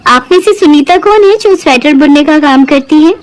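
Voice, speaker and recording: A woman voicing a friendly, chatty city customer with a brisk, courteous manner in a Hindi moral-story cartoon. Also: high voice, animated, pitched above the performer's own voice. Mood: friendly, requesting.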